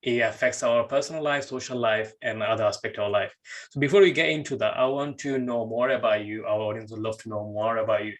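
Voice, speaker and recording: Male podcast host, halting speech with many fillers. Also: medium pitch, steady tone. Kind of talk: interviewing a guest.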